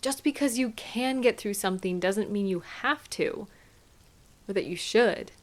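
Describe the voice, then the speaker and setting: Casting: caring female partner played by a woman for intimate audio drama; slow, tender, gently chiding, mid-pitched. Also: close to an everyday voice. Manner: tender, soothing, gently questioning and unhurried. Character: caring, gently chiding partner arriving home to their sweetheart. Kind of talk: intimate scripted audio roleplay addressed to the listener.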